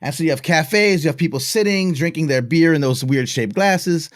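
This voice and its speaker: A middle-aged man hosting an interview, musing in a relaxed, reflective way. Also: a little high, normal speed, no echo, studio quality. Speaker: podcast host. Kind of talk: casual interview chat.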